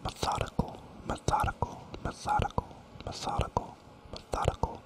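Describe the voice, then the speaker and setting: A man giving whispered ASMR commentary while playing a strategy game, rambling and thinking aloud, toying with repeated words, close to the microphone. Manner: soft and unhurried, thinks aloud. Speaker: gaming channel host.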